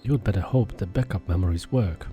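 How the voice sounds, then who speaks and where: A man's voice: low voice, a little fast, dark tone, soft background music, natural voice. A man voicing an alien creature posing as a sister, speaking in a curt, scolding way.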